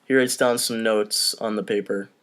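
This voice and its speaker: A man's voice: on the low side, steady tone, moderate pace, a bit bright. Man casually narrating a script. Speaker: host and stage-direction reader. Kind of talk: casual table-read narration.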